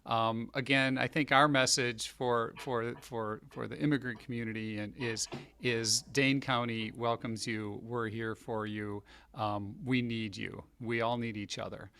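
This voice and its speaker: A man's voice: mid-range voice, fairly even intonation, unhurried, bright, forceful tone, clear, resonant tone. A man hosting a podcast interview, speaking in a friendly, appreciative, easygoing way. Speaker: podcast host, county executive. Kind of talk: casual interview.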